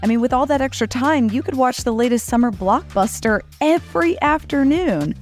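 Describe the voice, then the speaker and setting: A woman's voice: sits high, normal speed, soft background music. A middle-aged woman co-hosting a podcast in a friendly, informal, conversational way. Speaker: podcast co-host.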